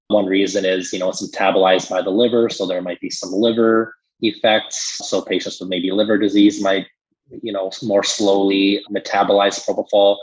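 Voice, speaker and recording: A man's voice: low-pitched, breathy edge, highs cut off. An American man giving a conversational medical explanation in an informal, explanatory manner, like a podcast expert.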